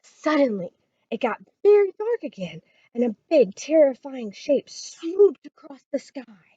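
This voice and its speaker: High-voiced, animated woman reading a story. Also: measured pace, no room sound, dull audio. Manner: expressive, dramatic storytelling. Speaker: library storytime reader. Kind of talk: scripted children's story read-aloud.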